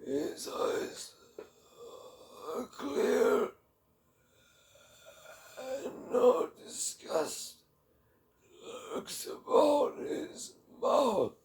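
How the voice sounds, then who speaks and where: Storybook role: frail elderly holy hermit, thin quavering falsetto, very slow and halting. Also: male voice, sing-song, a little mellow, echoey room, heavily acted. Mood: wondering. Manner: slow, drawn-out, halting delivery. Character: frail old hermit holy man of the forest. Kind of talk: dramatic philosophical storybook reading.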